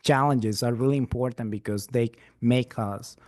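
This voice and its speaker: A man's voice: medium pitch, normal speed, darkish tone, no room sound, clean studio sound. A man who is a resident physician hosts an interview, upbeat, often repeating his words of praise. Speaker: interviewer, program ambassador. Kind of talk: scripted-sounding interview hosting.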